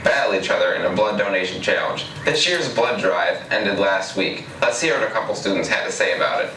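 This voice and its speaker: Young male student reporter, scripted read, sharp tone, distant echoey sound. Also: lowish voice, brisk. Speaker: student presenter. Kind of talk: scripted news report introduction. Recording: echoey, distant, faint noise.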